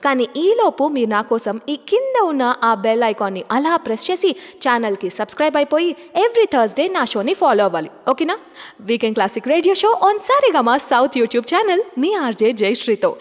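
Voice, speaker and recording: A woman's voice: sits high, sing-song, a little fast, long reverb tail. A woman hosting a radio show as its jockey, chatty and often putting questions to her listeners.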